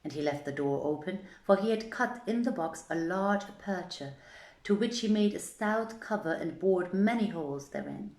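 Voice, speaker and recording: Lowish-voiced woman storyteller, echoey room. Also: lively intonation, moderate pace, a little mellow. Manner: theatrical, formal archaic phrasing. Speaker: storyteller, reader. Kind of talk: scripted literary narration of an old tale. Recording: echoey, roomy sound.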